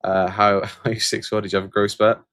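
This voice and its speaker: A man's voice: on the low side, little pitch movement, brisk, fairly bright tone, no echo, clean studio sound. A man from England hosting a casual livestream, reading viewer comments aloud and answering them in a rambling, hesitant way full of fillers and restarts. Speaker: channel host answering viewer questions.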